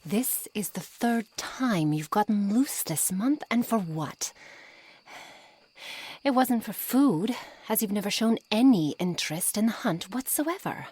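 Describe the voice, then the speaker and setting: A woman playing a haughty, coolly controlling witch in an ASMR roleplay, speaking formally and deliberately in a breathy voice that often nears a whisper. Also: medium pitch, expressive, darkish tone. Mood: exasperated, scolding. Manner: slow, formal, deliberate, condescending. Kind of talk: ASMR roleplay, scripted monologue to the listener.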